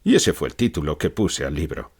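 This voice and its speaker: A man's voice: rumbling low, huge pitch swings, fairly quick, gravelly, a little mellow, slightly rough, full character voice. An actor playing a gloomy, anguished male philosopher in an audiobook interview, speaking in a dramatic, declamatory manner. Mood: reflective. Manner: dramatic, declamatory, rhetorical repeated questions. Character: gloomy, lucid pessimist philosopher, brooding and anguished man. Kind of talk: acted fictional interview, audiobook.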